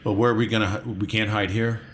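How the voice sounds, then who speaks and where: Male game player, deep voice, casual talk, light background noise. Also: level tone, quick pace.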